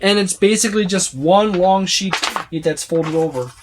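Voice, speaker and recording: A tabletop game reviewer giving a casual, unscripted overview, speaking haltingly with repeated words and trailing lists. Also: medium pitch, a little hiss or hum. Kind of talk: casual unscripted book flip-through overview.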